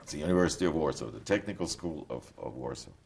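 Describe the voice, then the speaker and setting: An older man recounts his memories in a slow, reflective way, often pausing to find his words. His voice sits very low. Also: animated, creaky, darkish tone. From Poland. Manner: reflective, halting storytelling. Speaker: interviewee. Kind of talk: recorded testimony.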